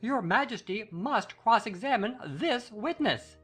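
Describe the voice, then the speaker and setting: Woman acting a high, slow, officious herald. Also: lively intonation, breathy edge, soft background music. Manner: declaiming, formal. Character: high-voiced, officious court herald announcing proceedings. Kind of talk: dramatized audiobook acting.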